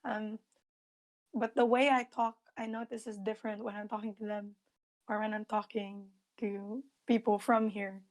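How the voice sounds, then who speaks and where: A woman co-hosting a casual panel podcast, speaking in a measured, even way. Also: mid-range voice, poor audio. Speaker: podcast co-host.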